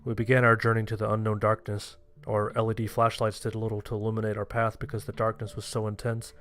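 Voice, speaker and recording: A man's voice: fairly low pitch, completely flat delivery, quick pace, fairly bright tone. A man narrating a first-person horror story, playing the main character himself. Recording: music under the voice. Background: music.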